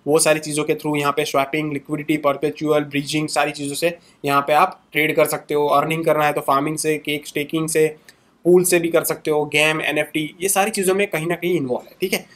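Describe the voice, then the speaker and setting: A young man hosting his own channel, talking through charts in a casual, conversational way and closing many sentences with quick tags and fillers. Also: fairly high pitch, level tone, a bit bright. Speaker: crypto channel host. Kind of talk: casual screen-share market analysis.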